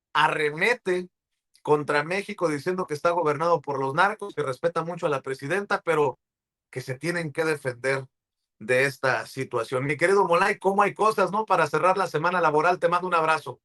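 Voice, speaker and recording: A man with a Mexican accent presents a live political show. His voice sits slightly high. He speaks in an effusive, affectionate manner as he handles introductions and questions. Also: fairly bright tone. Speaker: show host.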